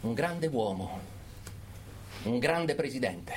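An actor playing a fanatical political orator in a theatrical radio monologue, emphatic and full of dramatic pauses. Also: male voice, mid-range voice, animated, a little hiss or hum. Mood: grave, insistent. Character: fanatical male political orator urging brutal repression.

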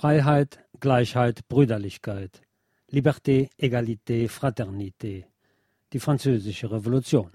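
A man reads a scripted history feature as presenter, in a formal, measured way. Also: medium pitch, noisy background. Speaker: radio show presenter.

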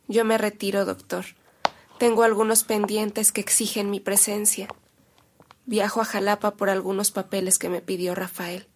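An actress playing a bishop's dignified, courteous sister in a radio drama, speaking formally and politely. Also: female voice, mid-range voice, a little hiss or hum, natural voice.

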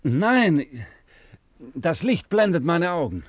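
Emperor's devout servant, 50s radio play, very animated pitch, earnest, dry sound. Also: male voice, takes it slowly, no echo, heavily acted. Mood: alert. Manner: respectful, earnest, solemn. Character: deferential, devout male attendant of an emperor. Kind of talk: 1950s German radio drama acting.

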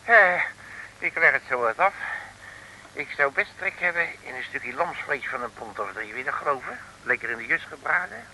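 An actor playing a cheeky, grumbling working-class Dutch detective in an old comic radio serial, blustering in slang. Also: male voice, medium pitch, expressive, normal speed, pushed and bright, poor audio. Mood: hungry, chatty. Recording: old radio recording with faint noise.

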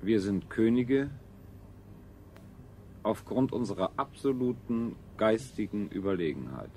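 A man playing a sober, coldly arrogant technocrat scientist in a 1970s German radio play, clinical and deliberate. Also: on the low side, lively intonation, mellow. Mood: cold, superior. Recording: 1970s radio recording, faint noise.

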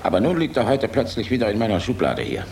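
Deep-voiced, weary, bitter general. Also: male voice, huge pitch swings, fast, darkish tone, slight background noise, full character voice. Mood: gruff, resigned. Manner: emphatic, rhetorical, swinging from gruff to impassioned. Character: weary, bitter German air force general, defiant and tormented. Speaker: actor in a radio play. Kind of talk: theatrical radio drama acting.

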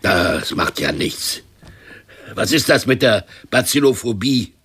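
An actor playing an ailing, scornful and impatient uncle of eighty in a radio drama, grumbling and firing sarcastic questions. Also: male voice, on the low side, animated, slow pace, a bit bright, slightly rough, exaggerated voice. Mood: scornful, exasperated. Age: older.

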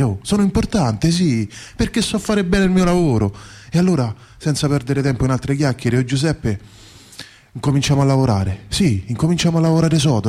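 A man's voice: a little high, warm tone, breathy edge. A man playing a humble, earthy bricklayer in a theatrical radio monologue, with a salty, colloquial, wonderstruck way of repeating himself. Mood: proud, moved. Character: humble, earthy bricklayer recounting his dream of heaven.

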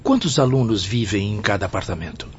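An actor playing a puzzled, inquisitive pupil in a radio drama, asking formally. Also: male voice, lowish voice, animated, brisk, close to an everyday voice. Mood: puzzled, curious. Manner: questioning, formal. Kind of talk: Brazilian radio drama acting. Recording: dull lo-fi audio with faint noise.

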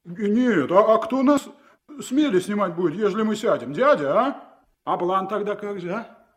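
A high-voiced, grumbling riverboat crewman in an old Soviet radio play. He argues in earthy, colloquial speech, needling with mocking rhetorical questions. The mono recording has a vintage feel. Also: animated, moderate pace. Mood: indignant, argumentative.

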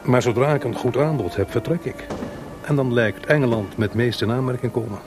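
An actor playing a seasoned, wry composer in a Dutch radio play, speaking frankly and with measured, gently probing delivery. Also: male voice, medium pitch, over music, natural voice. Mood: frank, resolved.

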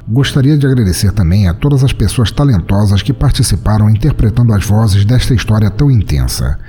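Brazilian man, podcast narrator, expressive dramatic delivery, warm dark tone, faint music behind. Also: lowish voice. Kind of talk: dramatic scripted short-story narration.

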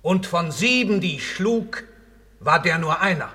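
An actor playing a proud, boastful Roman general on trial among the shades, declaiming in a German radio play. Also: male voice, high voice, expressive, measured pace, pushed and bright, heavily acted. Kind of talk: German radio play, theatrical verse delivery. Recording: long reverberant hall.

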